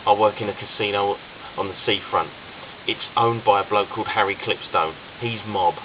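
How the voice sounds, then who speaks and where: A man's voice: lowish voice, far from the mic, lightly acted. A man reading an urgent woman's voicemail. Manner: urgent, hurried warning. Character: anxious woman warning on voicemail. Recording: slightly dull with faint hiss.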